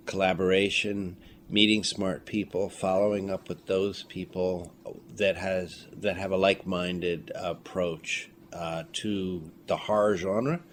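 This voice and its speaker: Male filmmaker as interview guest, lowish voice, reflective and articulate, light background noise. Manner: deliberate, articulate, explanatory. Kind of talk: reflective conversational talk. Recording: faint background room noise.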